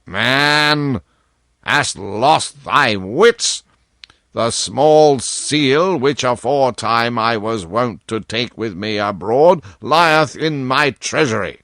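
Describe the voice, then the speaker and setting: Man acting a frail, slow old king. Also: mid-range voice, lively intonation, a bit bright, no room sound, studio quality. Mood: wrathful. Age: older. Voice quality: feeble, weighty. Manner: slow archaic royal speech, commanding. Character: frail old dying king, wrathful and sorrowful by turns.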